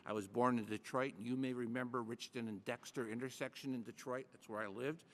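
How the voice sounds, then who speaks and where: An older man from Michigan, a tax lawyer giving measured, formal and courteous testimony before a committee in a large hearing room. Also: medium pitch, steady tone, fairly bright tone, long reverb tail, a little hiss or hum. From Michigan, USA.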